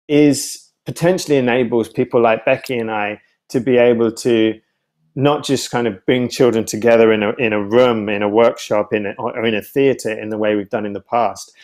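A man's voice: medium pitch, a little mellow. A man in his thirties giving thoughtful interview answers on a video call, searching for words and often restarting his phrases. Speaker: guest, writer and theatre artist. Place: home room with bookshelves, indoors. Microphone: video call, wired earphones.

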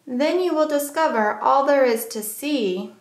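A woman with a high-pitched voice reads a children's picture book aloud in a roomy, echoing space. She phrases the rhymes in a measured, deliberate way, as a storyteller does for young listeners.

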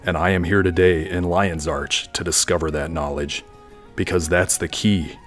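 Audio drama villain male actor: deep, brooding, dramatic would-be conqueror, some music underneath. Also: steady tone, lightly acted. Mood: grave, grandiose. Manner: dramatic, measured monologuing. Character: brooding, grandiose male villain plotting world domination. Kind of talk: fan audio drama acting.

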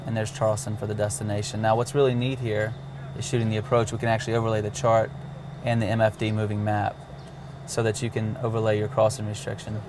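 A man's voice: lowish voice, completely flat delivery, fast, noisy background. A man casually demonstrating a cockpit navigation system step by step, with occasional fillers. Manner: walks through steps plainly, with fillers. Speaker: avionics demonstrator.

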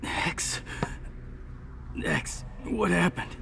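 An actor playing an earnest, self-doubting young robot hero in a video game, with a hesitant, intense, sincere delivery. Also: male voice, high-pitched, huge pitch swings, drawn-out words, vocal fry, noisy background, exaggerated voice. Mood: concerned. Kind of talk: video game cutscene acting.

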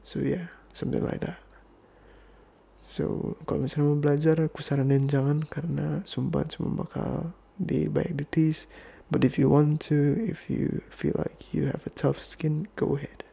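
A man's voice: fairly high pitch, steady tone, normal speed, gravelly, dark tone, slightly rough. A young man hosting a podcast in a laid-back, casual chat, switching between Indonesian and English with a British-leaning accent and sometimes dropping to a near-whisper. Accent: Indonesian-tinged British English. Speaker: podcast host. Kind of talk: casual chat answering fan questions.

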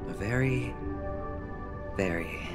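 An actor playing a wistful, gentle young man in a video game, speaking softly and reflectively with halting phrases. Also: on the low side, over loud music. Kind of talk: dramatic video game acting.

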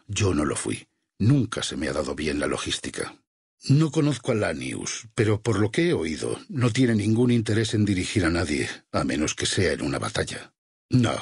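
A man voicing a burned, devout warrior in a video game, solemn, measured and vengeful, with a husky, gravelly voice and a Castilian Spanish accent. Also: low voice, no echo, clean studio sound, full character voice. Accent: Castilian Spanish from Spain. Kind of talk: dramatic game character dialogue.

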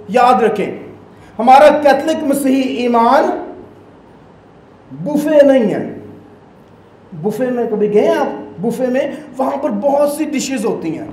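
High-voiced Pakistani priest preaching emphatically. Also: male voice, a little mellow, echoey room, a little hiss or hum, low-quality recording. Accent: Pakistani Urdu. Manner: emphatic preaching with sweeping hand gestures. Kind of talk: Sunday homily. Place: small church sanctuary, indoors. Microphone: stand mic at the lectern.

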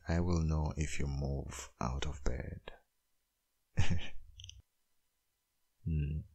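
A man playing a tender, possessive dominant boyfriend in an intimate ASMR roleplay, murmuring in a gravelly, breathy, half-whispered voice. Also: extremely low pitch, a little mellow, full character voice. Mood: doting, firm. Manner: slow, murmuring, lingering.

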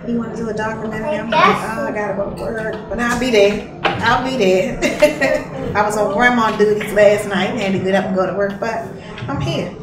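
A woman speaking about family in a documentary, lively and warm-hearted in her words. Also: medium pitch, brisk, reverberant, far from the mic, noisy background. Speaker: family member. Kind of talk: documentary interview.

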